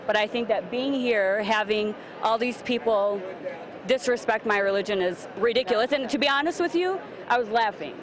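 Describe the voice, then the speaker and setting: A young woman from Lebanon gives an earnest, indignant but measured answer in the street. The clamor of a rally crowd sits clearly under her voice. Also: a little high. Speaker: passer-by being interviewed. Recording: crowd noise of an outdoor rally.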